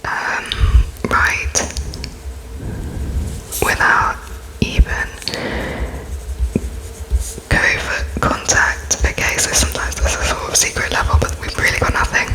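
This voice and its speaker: Slow, whispering woman in ASMR roleplay. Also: poor audio. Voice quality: breathy, unvoiced whisper. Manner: hesitant, halting, dictating slowly as she writes notes; gentle, polite, apologetic. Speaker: ASMR performer playing an interviewer.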